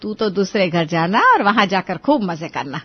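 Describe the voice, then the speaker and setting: A woman's voice: mid-range voice, very animated, moderate pace, slightly rough, highs cut off. A woman voicing a resigned mother in a family story drama, soothing and consoling her child. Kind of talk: Hindi moral-story audio drama.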